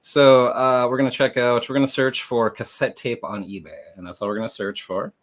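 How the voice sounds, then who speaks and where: A man hosting a livestream, explaining things casually in a meandering way with lots of hesitant filler pauses. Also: medium pitch, steady tone. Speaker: livestream host and reseller.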